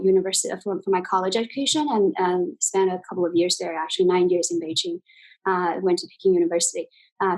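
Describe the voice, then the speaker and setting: A woman's voice: mid-range voice, poor audio. A woman in her forties from China, speaking English with a Chinese accent as an interview guest, thoughtful and full of fillers and restarts. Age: 40s. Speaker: guest, exchange CEO. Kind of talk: interview conversation.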